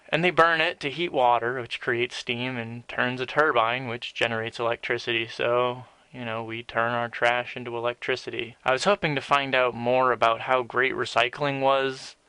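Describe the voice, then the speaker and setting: Guy doing a blunt opinionated video essay, super clear bright voice, dry close sound. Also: mid-range voice, no echo, poor audio. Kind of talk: opinionated scripted commentary.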